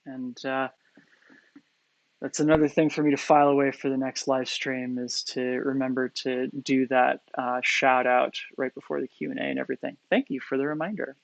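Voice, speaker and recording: A man's voice: mid-range voice, flat delivery, normal speed, gravelly, dry recording, low-quality recording. A man with a Coloradan accent answering viewer questions in a live-stream tutorial, hesitant, with many fillers and restarts, in a small indoor room. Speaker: poi spinning instructor.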